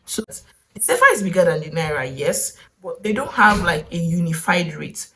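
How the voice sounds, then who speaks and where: A young woman with a Nigerian accent talks through her travel story in a relaxed vlog. Her voice sits a little low for a woman. She muses aloud, asks herself questions and laughs briefly now and then. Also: normal speed. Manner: chatty, wondering aloud, with short laughs. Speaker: vlogger.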